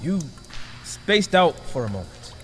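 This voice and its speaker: A man's voice: a little high, big pitch swings, unhurried, in a large hall. A man playing an earnest, flustered young masked hero with a hesitant, exasperated manner in a comic audio drama. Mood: awkward, gentle. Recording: music behind.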